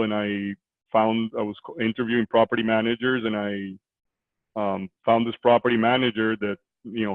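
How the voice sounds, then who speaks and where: Guy from costa rica on a video call, low flat voice, sounds tinny like a phone line, lots of uhs. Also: dry recording. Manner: hesitant, many fillers and restarts. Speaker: guest real estate investor. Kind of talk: conversational interview. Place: small office indoors.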